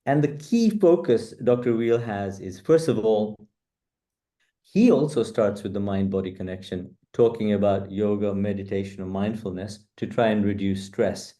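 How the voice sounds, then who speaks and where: A middle-aged man with a low, warm and mellow voice. He speaks as an interview guest, measured and earnest, pausing on fillers as he gathers his thoughts. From UK, born in East Africa. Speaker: guest, coach. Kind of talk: reflective interview answers.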